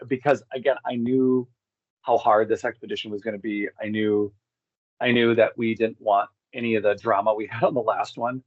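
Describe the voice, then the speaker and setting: A man's voice: mid-range voice, no echo, dull audio. A man talking casually as an interview guest, rambling and circling back to restate his points. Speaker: guest, expedition group co-founder.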